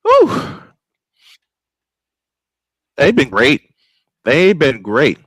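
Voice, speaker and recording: A man's voice: fairly high pitch, wildly swooping pitch, extremely slow, a bit bright, dry recording, clean studio sound. A man doing old-school baseball play-by-play commentary as the host of a broadcast.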